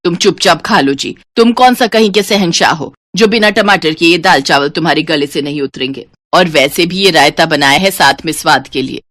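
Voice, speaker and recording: A nagging older mother-in-law played by a woman in a family story cartoon. She speaks low and close in a dry recording, scolding and taunting with grumbling sarcasm. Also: moderate pace, few pauses, fairly bright tone, dry recording. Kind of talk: Hindi moral story cartoon voice acting.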